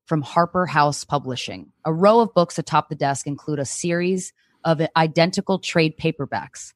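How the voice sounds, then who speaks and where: A woman's voice: low-pitched, no room sound. Woman with close, dry-sounding voice. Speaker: guest reader, comedian. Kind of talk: casual chat and reading screenplay directions.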